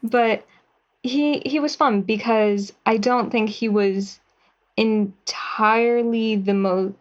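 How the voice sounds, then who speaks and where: A woman, a writer and actor, answering interview questions about her work in a slow, thoughtful way with fillers. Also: fairly high pitch, fairly even intonation, dull audio.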